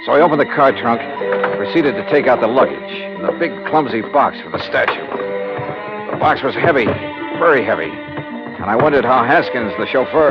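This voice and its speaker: Wry investigator narrating, lively delivery, muffled vintage radio, music underneath. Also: male voice, mid-range voice, gravelly. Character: wry, brisk male insurance investigator. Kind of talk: 1940s radio acting with first-person narration. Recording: dull old radio broadcast with music.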